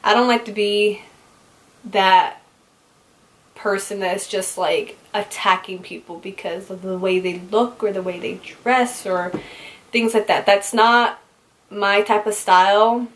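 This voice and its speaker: A woman's voice: medium pitch, steady tone, slow pace, low-quality recording. Woman, 20s, beauty vlogger, bubbly and candid, with loose filler-heavy chat. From California, raised in Utah. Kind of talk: casual get-to-know-me Q&A.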